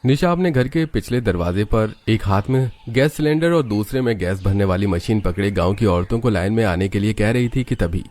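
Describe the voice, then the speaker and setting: A man's voice: on the low side, expressive, fairly quick, hardly any pauses, a little mellow. A man narrating a scripted cartoon story as its storyteller.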